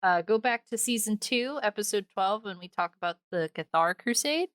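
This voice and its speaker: A woman's voice: medium pitch, animated, normal speed, fairly bright tone, dry recording, studio quality. A woman co-hosting a podcast, giving casual outro plugs in an informal, jokey way. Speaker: podcast co-host.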